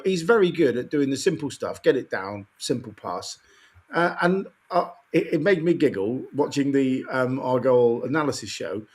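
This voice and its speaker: Knackered croaky bloke going off about a bad match, husky voice. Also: male voice, on the high side. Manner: animated ranting, exasperated. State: tired, croaky voice. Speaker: podcast co-host. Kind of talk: casual football chat.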